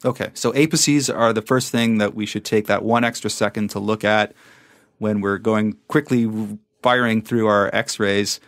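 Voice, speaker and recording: A man's voice: on the low side, steady tone, moderate pace. A man hosting a medical podcast in a conversational interview style, explaining, recapping points and asking questions. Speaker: podcast host, emergency physician.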